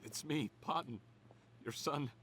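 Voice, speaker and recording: A man voicing a bitter, desperate outcast son in a video game drama, halting and theatrical. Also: fairly high pitch, fairly bright tone, slight background noise. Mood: anguished pleading. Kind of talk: scripted video game dialogue.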